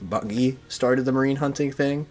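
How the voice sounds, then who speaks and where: A man's voice: medium pitch, moderate pace, darkish tone, faint music behind. A man chatting casually on a podcast in a slangy, joking way. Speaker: podcast speaker discussing manga. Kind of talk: casual chat.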